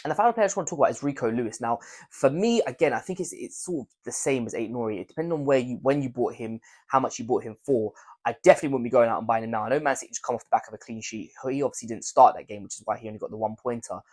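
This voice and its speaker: A young man with a croaky voice from a cold, chatting casually to his viewers about fantasy football picks and rambling through his reasoning. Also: medium pitch, rapid-fire, darkish tone. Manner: rambling, repeats words, hedges with "I think". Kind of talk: casual video commentary.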